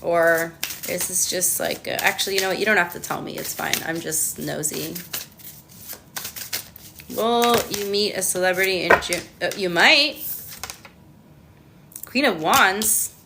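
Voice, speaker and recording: A woman's voice: on the low side, a little slow, vocal fry, long pauses, noisy background. A Canadian woman doing a casual livestream tarot reading, chatting to viewers and answering their comments with lots of ums. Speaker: tarot reader.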